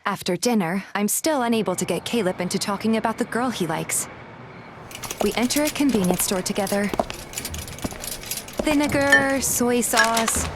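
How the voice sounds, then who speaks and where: A woman's voice: fairly high pitch, moderate pace, pauses often, over music, natural voice. A woman playing a feisty, suspicious young woman who narrates her own story in a game fan dub. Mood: determined. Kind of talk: scripted first-person narration and game dialogue, fan dub.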